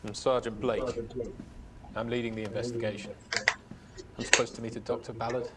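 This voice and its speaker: A man's voice: medium pitch, little pitch movement, fairly quick, natural voice. A man playing a businesslike police sergeant in a film-style game drama, matter-of-fact and understated. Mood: formal. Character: businesslike male police sergeant leading an investigation. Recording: game audio from afar, loud noise.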